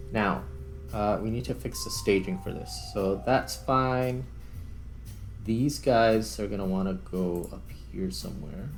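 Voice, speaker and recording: Male gaming host, lowish voice, casual, talks through each step as he goes; light music bed. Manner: thinks aloud while working. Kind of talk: casual gameplay commentary. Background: background music.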